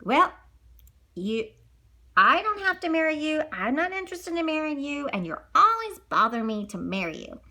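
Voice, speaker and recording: A woman's voice: sits high, sing-song, normal speed, sharp tone, exaggerated voice. A woman voicing a devoted young daughter in a storybook, plain-spoken, with a worried urgency. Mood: firm.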